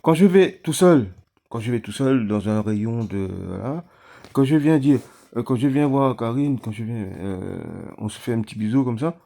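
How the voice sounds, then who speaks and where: A man chatting casually at home, telling a story in a halting, hesitant way. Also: mid-range voice, animated, mellow. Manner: hesitant, halting, many fillers. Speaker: vlogger.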